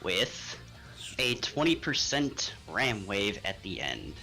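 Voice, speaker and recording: A man's voice: fairly low pitch, very animated, takes it slowly, vocal fry, bright, forceful tone, poor audio. A man talking casually and informally as a player in a tabletop game. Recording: music playing behind the voice. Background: music.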